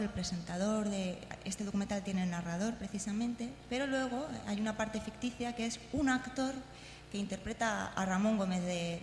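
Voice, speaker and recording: A woman from gives an informal talk introducing audio clips, haltingly and repeating words as she explains. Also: mid-range voice, darkish tone. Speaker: conference speaker. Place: large indoor congress hall. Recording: echoey hall, long reverb, faint noise.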